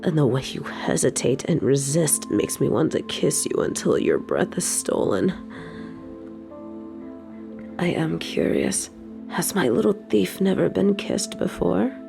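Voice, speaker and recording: A woman playing an evil, possessive queen in an intimate audio roleplay, speaking formally and seductively in a breathy voice that often drops toward a whisper. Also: sits low, animated, music in the background, full character voice. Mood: teasing, curious. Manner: formal, regal, slow seductive delivery.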